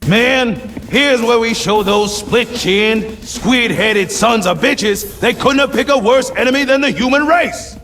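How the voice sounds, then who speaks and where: A man's voice: very high voice, a little slow, hardly any pauses, fairly bright tone, full character voice. A man acting a gung-ho, bellicose Marine sergeant in a video game, barking with swagger at his troops. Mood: fierce, bellicose. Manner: barking, swaggering drill-sergeant delivery with emphatic punches. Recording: game cinematic with music behind. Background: music.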